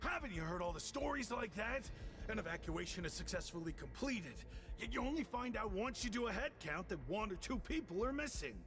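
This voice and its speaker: Flustered, chatty young fellow for an anime game: high voice, lively swings, exclamatory. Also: male voice, a little fast, music in the background. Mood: earnest, explaining. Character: excitable, chatty young man, well-meaning and easily flustered. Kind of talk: anime video game voice acting.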